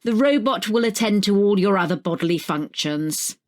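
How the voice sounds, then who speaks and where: A woman's voice: medium pitch, expressive, moderate pace, lightly acted. Woman reading as a detached, matter-of-fact doctor. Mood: detached, clinical.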